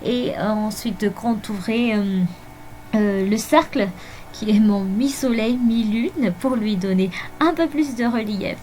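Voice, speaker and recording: A woman whose first language is Malagasy, narrating a journal planning video in a hesitant way with many fillers and soft laughs. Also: fairly high pitch, a little slow. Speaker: bullet journal vlogger. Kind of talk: voice-over narration of a plan with me. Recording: faint music behind voice-over.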